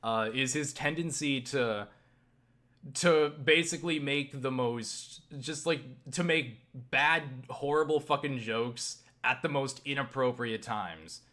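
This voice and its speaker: Bright, forceful man rambling casually. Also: medium pitch. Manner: halting, self-interrupting, circling back with repeated "you know"; casually profane. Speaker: YouTube vlogger. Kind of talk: rambling casual vlog monologue.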